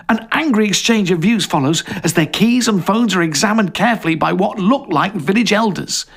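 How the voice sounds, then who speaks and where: A man reading a story as dramatic scripted narration, in a suspenseful, expressive manner. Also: high-pitched, slightly rough.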